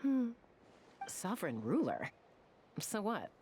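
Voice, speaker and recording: A woman's voice: medium pitch, huge pitch swings, slow, darkish tone, a little hiss or hum. A woman playing a cool, skeptical, teasing young woman in a video game, with a dry, sardonic delivery. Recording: music behind the voice. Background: game music.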